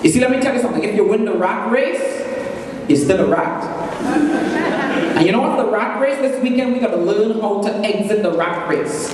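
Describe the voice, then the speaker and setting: A man's voice: high voice, normal speed. A man with a Trinidadian accent giving a punchy, joking motivational talk to an audience, repeating phrases for emphasis. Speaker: motivational speaker. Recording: echoey hall from far off, noisy.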